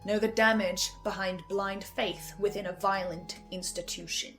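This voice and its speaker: Female host for review essay, reflective delivery with pointed pauses, mid-range voice, faint music underneath. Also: mid-range voice, steady tone, fairly bright tone. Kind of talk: scripted video essay commentary.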